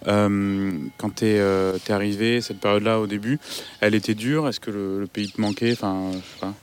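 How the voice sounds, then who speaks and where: A man hosting a casual interview outdoors in a park, asking questions haltingly with many fillers. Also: on the low side, steady tone, slight background noise. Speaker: podcast host.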